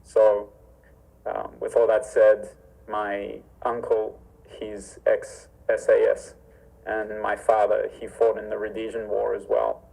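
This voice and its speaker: Martial arts guy from zimbabwe, low gravelly voice, kinda flat, earnest and formal, on a video call. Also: slow, poor audio. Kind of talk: remote interview conversation. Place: home with a library.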